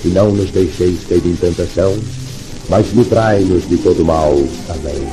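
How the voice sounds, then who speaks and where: A man with a Brazilian accent solemnly reciting prayer as a 1950s radio announcer, formal and reverent, on an old 78rpm disc. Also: low voice. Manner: formal, reverent, measured oratory. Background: music, record crackle.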